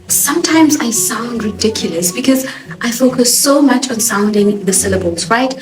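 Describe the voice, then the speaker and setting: A young woman, a native Swahili speaker with a Johannesburg accent, giving a sassy, expressive TV show review as host. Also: on the high side, moderate pace. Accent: South African (Johannesburg). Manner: expressive, sassy, animated emphasis. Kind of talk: casual opinionated review. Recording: echoey room with music behind.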